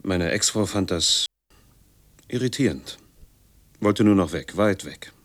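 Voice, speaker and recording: An actor playing an embittered, noise-obsessed man in his forties, sardonic and intense, telling his story in a husky voice in a radio drama monologue. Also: low voice, lively intonation, takes it slowly, heavily acted. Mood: bitter. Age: 40s. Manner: sardonic, intense, with clipped fragments.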